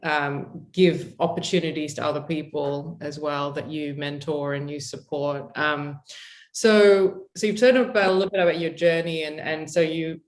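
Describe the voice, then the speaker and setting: A woman's voice: deep voice, steady tone, normal speed. A woman from Zambia hosting an interview, speaking in an encouraging, conversational way. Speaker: host.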